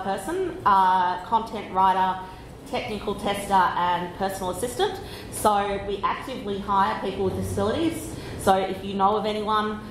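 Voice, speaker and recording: A woman with an Australian accent giving a conference talk, loud and chatty, slowing down on purpose. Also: mid-range voice, echoey room, a little hiss or hum, rough recording. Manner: loud, chatty, deliberately slowed. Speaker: conference speaker. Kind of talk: conference presentation. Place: conference room.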